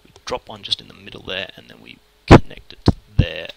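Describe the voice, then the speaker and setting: Australian man, low gravelly voice, quick informal tutorial patter, noisy desk mic with typing behind. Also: big pitch swings. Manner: fast, informal, mutters at the software. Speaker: 3D modelling tutor. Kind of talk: screen-recorded software tutorial, thinking aloud. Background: keyboard typing and mouse clicks.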